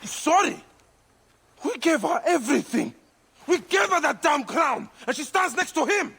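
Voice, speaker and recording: A man's voice: very high-pitched, very animated, a little slow, creaky, pushed and bright, full character voice. An actor playing a grieving Egyptian warrior father who leads a brotherhood in a video game, earnest and intense. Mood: outraged. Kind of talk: dramatic video game acting. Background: background music.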